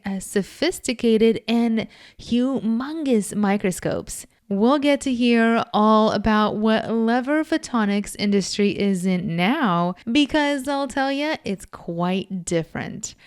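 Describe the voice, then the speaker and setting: A woman's voice: a little high, clean tone. A woman hosting a podcast, reading a scripted introduction and then interviewing her guest in an upbeat, eager manner. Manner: upbeat, eager, frequent "awesome". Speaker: podcast host.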